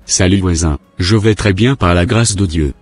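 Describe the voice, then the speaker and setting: A curt, secretive husband who brushes off questions with irritation. His voice sits low and husky, with faint music behind him. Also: normal speed. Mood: defensive. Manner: brusque, dismissive.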